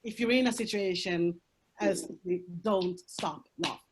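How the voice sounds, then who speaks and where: A woman's voice: lowish voice, measured pace, slightly rough. A woman whose first language is Swedish, speaking English with a Swedish accent, talking frankly and emphatically as a panel guest over a video call. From Sweden. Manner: frank and emphatic, swears, laughs through hard topics. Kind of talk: candid conversational talk.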